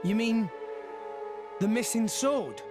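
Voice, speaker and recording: A man's voice: sits high, expressive, slow, over music. An actor playing an earnest, determined teenage boy adventurer in a video game cutscene. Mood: surprised, wondering.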